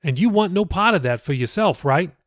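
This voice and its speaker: A man acts out an earnest male pastor in an audiobook story. He speaks in a raised pitch with casual, insistent persuasion, and the sound is close and dry. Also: lively intonation, brisk, dry recording. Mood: probing. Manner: colloquial, insistent, questioning. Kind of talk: audiobook character dialogue.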